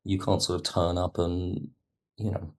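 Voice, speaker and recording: A man speaking as a producer and guest in an interview, reflective, halting and rephrasing as he explains. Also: low voice, completely flat delivery, darkish tone. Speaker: audio drama producer and writer, podcast guest. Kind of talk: reflective interview talk about production work.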